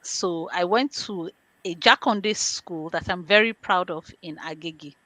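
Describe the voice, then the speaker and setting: Woman, Nigerian accent, lowish pitch, dry sound, interview guest, conversational, plain explanations. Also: unhurried, bright, forceful tone, dry recording. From born in London, grew up in Nigeria. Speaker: interview guest, information governance specialist.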